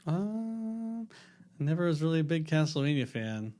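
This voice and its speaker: A man's voice: fairly high pitch, very animated, unhurried, darkish tone. A man co-hosting a podcast in casual, slangy banter with friends. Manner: informal, says dude and bro often. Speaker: podcast co-host.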